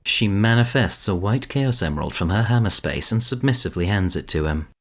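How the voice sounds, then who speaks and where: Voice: low voice, lively intonation, a little fast. A narrator reading scripted present-tense story narration for an audio drama.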